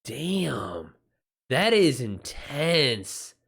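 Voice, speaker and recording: A man talks casually as he hosts a live stream, reading and reacting to his chat. His mid-range voice moves up and down in a very lively way. He is informal, exclamatory and quick to swear. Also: mid-range voice, vocal fry, husky.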